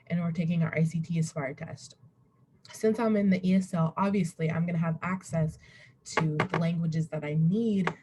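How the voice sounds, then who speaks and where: A woman's voice: lowish voice, warm tone. A young woman giving an informal slide presentation as a student, rambling and interrupting herself, recorded on a computer at home. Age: young adult. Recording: webcam recording with faint background noise.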